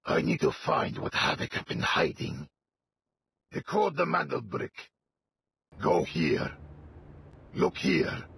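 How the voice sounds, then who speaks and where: A man voicing a vengeful, wrathful militant commander in a video game, gravelly and commanding, delivering menacing combat lines. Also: on the high side, wildly swooping pitch, slow, pauses often, a bit bright, heavily acted. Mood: terse, tactical. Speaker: playable game character.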